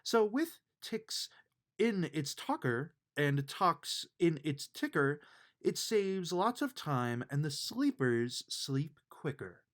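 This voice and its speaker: A man reading a rhyming children's bedtime book aloud in an expressive, sing-song way. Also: fairly high pitch, takes it slowly.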